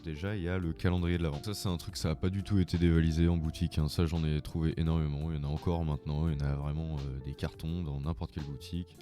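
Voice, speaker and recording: A man's voice: a bass voice, flat delivery, fast talker, few pauses, warm tone. A man with a cold, talking in an informal, rambling way in a casual video tutorial. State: has a cold. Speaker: vlogger. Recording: music playing behind the voice.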